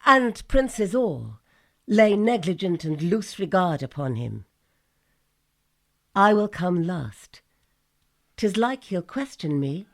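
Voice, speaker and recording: A cool, scheming Greek strategist in a Shakespearean radio play. The pitch is fairly low but moves expressively. Each phrase is slow, measured and articulate. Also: female voice, very animated, exaggerated voice. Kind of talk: Shakespearean audio drama acting.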